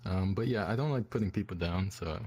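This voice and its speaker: A man with a deep, smooth voice speaks in a low register, soft-spoken and reflective. He answers interview questions about being voted out of a contest in a relaxed, modest way, recorded on a desktop mic. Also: fairly quick. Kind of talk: casual online interview.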